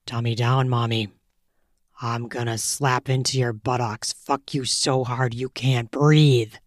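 A stern, possessive young man, as a woman reader puts him on, murmuring his commands low and slow. The voice sits deep and even, and the recording is close and dry with no room sound. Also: dry recording, heavily acted. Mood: commanding.

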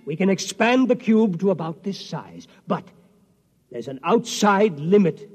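An actor in an old-time radio drama playing a weaselly, resentful little technician who is agitated, complaining and repeats himself. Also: male voice, high-pitched, very animated, measured pace, muffled recording, faint music behind. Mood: insistent. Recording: reverberant old radio recording.

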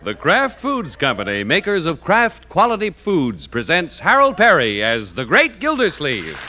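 A man announcing a 1940s radio show and reading its sponsor ad in a booming, theatrical style. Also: wildly swooping pitch, a little slow, pushed and bright.

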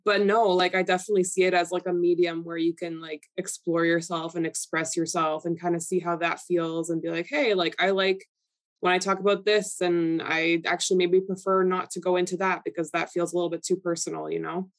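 Brief: young Canadian woman, Polish first language, lowish voice, rambling candid guest. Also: flat delivery, fairly quick. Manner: chatty, filler-heavy, candid. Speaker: guest influencer. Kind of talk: casual podcast interview.

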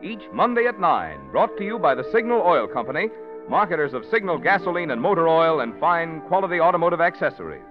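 A man's voice: a little high, big pitch swings, brisk, music in the background. A man announcing and reading sponsor copy on a 1940s radio show. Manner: smooth salesman delivery. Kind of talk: scripted radio commercial. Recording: muffled old radio broadcast.

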